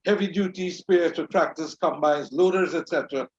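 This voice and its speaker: Formal host in an echoey room. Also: male voice, a little high, normal speed, slight background noise. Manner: formal, courteous. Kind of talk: online show hosting and sponsor reads. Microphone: video call. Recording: echoey, dull online audio.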